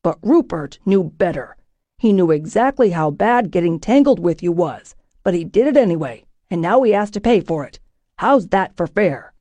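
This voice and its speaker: A woman voicing a gruff, menacing ship captain. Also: animated, moderate pace, warm tone, no echo. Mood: shouting. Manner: low, menacing, clipped commands. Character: stern, gruff middle-aged male ship captain. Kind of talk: audiobook character voice.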